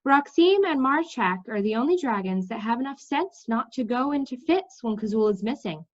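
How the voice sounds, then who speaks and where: A woman's voice: a little high, animated, moderate pace, pitched above the performer's own voice. A woman voicing a practical, determined young woman from a storybook in a matter-of-fact manner. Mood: brisk, determined. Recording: slightly dull, lo-fi sound.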